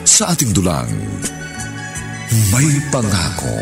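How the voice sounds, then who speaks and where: A man's voice: low voice, huge pitch swings, slow pace. A man announcing a Filipino radio drama with theatrical, scripted narration. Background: music.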